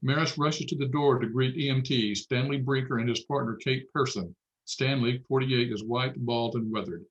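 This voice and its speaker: A man's voice: medium pitch, moderate pace, dull audio. A man narrating stage directions matter-of-factly at a screenplay table read.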